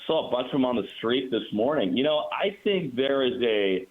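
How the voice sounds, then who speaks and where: A man's voice: mid-range voice, lively intonation, normal speed. A man, a hockey announcer, guesting on a sports show by phone, chatting casually with dry, playful humor. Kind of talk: casual joking interview chat. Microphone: phone call. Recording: thin, narrow phone line.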